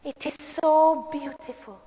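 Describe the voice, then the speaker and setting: A woman playing an eager, proud and wistful young Rwandan woman survivor in a theatrical solo stage piece, with expressive pauses. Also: high-pitched, voice pushed up. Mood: nostalgic. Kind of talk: solo dramatic interpretation on stage. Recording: echoey hall.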